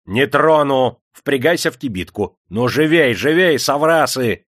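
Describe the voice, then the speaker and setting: A man's voice: fairly high pitch, expressive, measured pace, no echo, studio quality, pitched above the performer's own voice. A man voicing a despotic, hot-tempered Russian factory owner in an audiobook, barking and taunting imperiously. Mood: furious barking.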